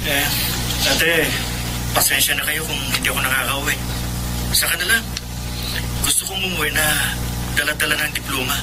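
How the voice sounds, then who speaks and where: An actor plays an earnest young male student in a Filipino drama. His voice is fairly high for a man and politely spoken, with clear noise around him. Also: steady tone, normal speed, pauses often, lightly acted. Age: young adult.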